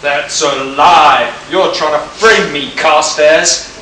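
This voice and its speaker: A man acting an indignant, cornered villain in an amateur live radio play, protesting loudly and melodramatically. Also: fairly high pitch, takes it slowly. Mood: defiant, angry. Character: indignant male villain, cornered blackmailer and murderer. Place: live convention stage, indoors. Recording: distant, noisy, heavily echoing hall.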